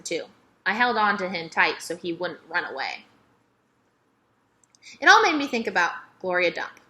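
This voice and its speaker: A woman narrating a children's novel aloud in an expressive, emphatic storytelling manner. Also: mid-range voice.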